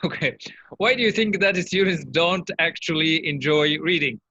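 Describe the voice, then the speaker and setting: A man's voice: fairly high pitch, lively intonation, normal speed, pushed and bright, breathy edge, lo-fi sound. A man interviewing someone in a conversational way, asking short questions and responding to the answers.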